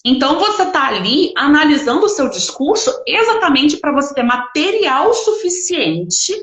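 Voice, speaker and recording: Higher-voiced Brazilian woman teaching, echoey room. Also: fairly bright tone. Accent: Brazilian Portuguese. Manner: conversational, explanatory, frequent check-in tags. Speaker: English study teacher. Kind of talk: informal live online lesson.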